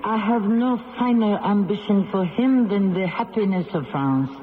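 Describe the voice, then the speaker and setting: An actress playing a proud, resigned condemned queen in her late thirties, speaking formally and deliberately in a radio drama. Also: medium pitch, phone-quality sound, slight background noise, lightly acted. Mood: firm, defiant. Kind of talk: 1970s radio drama acting. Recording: band-limited old radio recording, reverberant, music behind.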